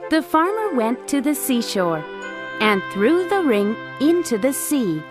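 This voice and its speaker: Female storyteller, scripted children's narration, lively swooping intonation, fairly high pitch, music bed. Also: measured pace.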